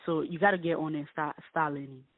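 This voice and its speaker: Host: woman from Liberia, lowish voice, chatty and opinionated, heard over a dry, phone-like call line. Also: fairly quick, no echo. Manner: chatty, opinionated, lively emphasis. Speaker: interview host. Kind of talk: casual interview.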